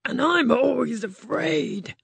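A narrator voicing a fearful male lion, speaking softly and higher than the narration. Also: lively intonation, slow, gravelly, breathy edge, full character voice. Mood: timid. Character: timid male lion, always afraid.

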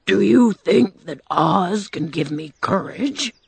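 Woman doing a gravelly, fearful cowardly lion. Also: medium pitch, lively intonation, slow pace, no room sound, heavily acted. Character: timid male cowardly lion, big and fearful. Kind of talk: storybook reading.

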